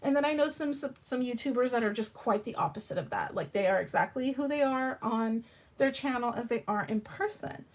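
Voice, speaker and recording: A woman hosting a tarot livestream, hoarse and raspy from allergies, chatting frankly and rambling with frequent restarts. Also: on the high side, expressive, brisk. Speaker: tarot channel host. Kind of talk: casual livestream chat.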